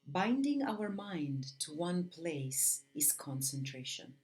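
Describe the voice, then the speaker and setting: Casting: female yoga teacher, slightly low voice, reads a spiritual text with deliberate phrasing and pauses. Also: big pitch swings, darkish tone, faint music behind. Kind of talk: read-aloud spiritual lesson with Sanskrit chanting.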